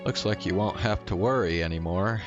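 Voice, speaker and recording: A man's voice: deep voice, brisk. A man streaming a game, casually reading dialogue choices aloud and reacting in a laid-back, slangy way. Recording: dull, muffled mic under game music. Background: game music.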